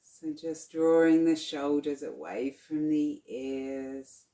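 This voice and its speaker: A yoga nidra guide leading a guided relaxation in a soothing, unhurried, gently instructing manner. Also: mid-range voice, fairly even intonation. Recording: roomy, echoing sound.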